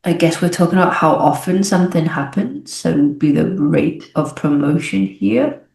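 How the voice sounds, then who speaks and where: A low-pitched young woman from the UK, working through an English exam aloud like a teacher. She explains each choice as she goes and sometimes corrects herself. The recording has a noticeably echoey room sound. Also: normal speed, creaky, a little mellow. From United Kingdom. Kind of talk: think-aloud exam walkthrough.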